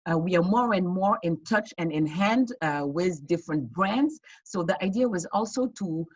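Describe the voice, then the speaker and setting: Woman founder, husky, earnest explainer, dry muffled video-call sound. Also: lowish voice, lively intonation, normal speed, dry recording. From West Africa. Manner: earnest, explanatory, many fillers and restarts. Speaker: fintech founder. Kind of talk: online panel interview answers.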